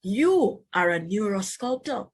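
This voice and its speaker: A woman teacher reading a children's book aloud, pausing to explain and put questions to young listeners. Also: mid-range voice, big pitch swings, husky.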